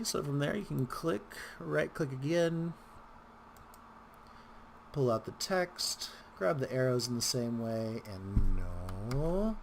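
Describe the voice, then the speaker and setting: A man hosting a casual software tutorial, talking in a rambling, informal way with wry asides. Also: mid-range voice, vocal fry, slight background noise. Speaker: tutorial host.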